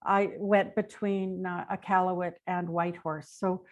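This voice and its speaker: A woman's voice: lowish voice, level tone, measured pace, muffled recording. Older Canadian woman telling her story informally. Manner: informal, rambling, anecdotal. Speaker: interview guest, retired police dispatcher. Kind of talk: conversational personal storytelling.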